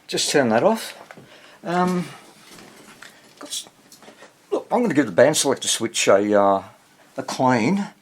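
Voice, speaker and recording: A man giving casual, hands-on repair commentary as he hosts a video, thinking aloud in a husky voice. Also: medium pitch. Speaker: vintage radio repair hobbyist hosting video.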